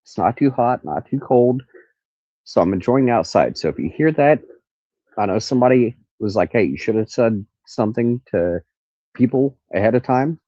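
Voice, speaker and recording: A man's voice: medium pitch, animated, moderate pace, dark tone, no room sound, muffled recording. A man with a nasal voice hosting a rambling, casual true crime livestream from an outdoor carport in the country. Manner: rambling, stops to look things up. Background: crickets and cicadas.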